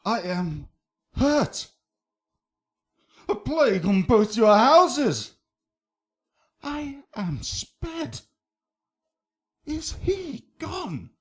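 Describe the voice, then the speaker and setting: A man playing a hot-headed, slow-spoken young swordsman. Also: very high voice, sing-song, heavily acted. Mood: pained, bitter. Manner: theatrical, taunting. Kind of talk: Shakespearean radio drama acting.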